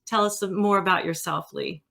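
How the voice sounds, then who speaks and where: A woman's voice: lowish voice, normal speed, creaky, a bit bright. A woman hosting an interview, introducing and questioning her guest in a conversational style. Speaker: interview host.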